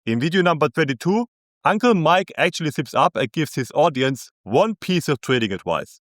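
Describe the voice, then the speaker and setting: A man's voice: on the high side, sing-song, normal speed, no room sound, clean studio sound. A man with a German accent delivering scripted commentary in a scornful, ranting, sarcastic manner.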